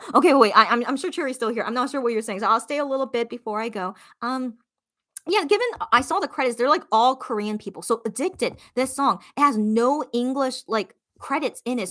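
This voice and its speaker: A woman's voice: on the high side, fairly bright tone, no room sound, studio quality. A young woman hosting a casual livestream radio show, reading viewer comments aloud and reassuring them in a chatty way, often repeating her phrases.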